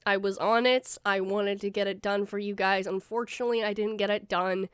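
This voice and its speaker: A woman's voice: mid-range voice, steady tone, brisk, clean tone, dry recording, studio quality. A young woman with a stuffy nose, hosting a gaming channel and giving her viewers a rambling, casual update full of fillers. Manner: rambling, many fillers and restarts.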